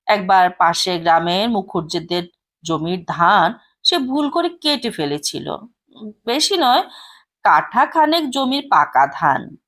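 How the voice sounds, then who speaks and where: Slow, expressive woman storyteller. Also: medium pitch. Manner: expressive, unhurried reading. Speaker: story reader. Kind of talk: storytelling narration.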